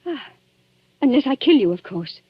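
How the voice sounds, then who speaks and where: A high, dramatically swinging voice for a cornered heroine of a 1940s radio thriller. She is tense, bitter and defiant, heard on a muffled old recording. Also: very animated, normal speed, husky, no echo, heavily acted. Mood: desperate defiance. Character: tense, defiant young woman being blackmailed.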